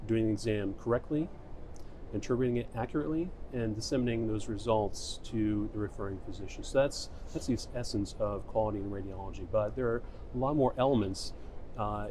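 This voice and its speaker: A middle-aged man giving measured, explanatory interview answers as a medical specialist, often restating his point. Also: on the low side, darkish tone. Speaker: emergency radiologist being interviewed. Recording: clear ambient venue noise. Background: background ambience noise.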